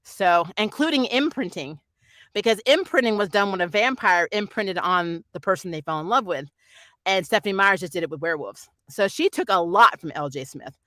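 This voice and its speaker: A woman's voice: medium pitch, expressive, moderate pace, fairly bright tone, no echo. A middle-aged woman hosting a casual livestream, chatting in a rambling, opinionated way as she reviews shows and answers viewer comments. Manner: rambling, digressive, opinionated, often laughing. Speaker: host of a nerd culture review channel.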